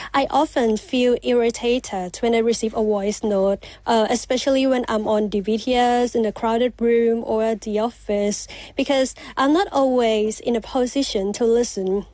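A woman with a slightly higher voice speaks in a matter-of-fact way. The sound is dry and close. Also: fairly even intonation, normal speed, a little mellow, no echo. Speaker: journalist. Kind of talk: voice note.

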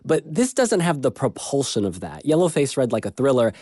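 A man's voice: mid-range voice, very animated, a little fast, dark tone, husky, no room sound, studio quality. A man giving a frank, conversational book review on a radio arts show. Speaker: book critic.

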